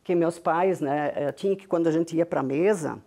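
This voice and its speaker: A woman's voice: deep voice, moderate pace, warm tone. An older woman from southern Brazil, whose first language was Venetian Italian, speaking with a heavy accent and telling her story as a guest on an interview show with frequent tag questions. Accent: Italian-Venetian-influenced Brazilian Portuguese from Rio Grande do Sul. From southern Brazil (Rio Grande do Sul). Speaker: guest, business founder.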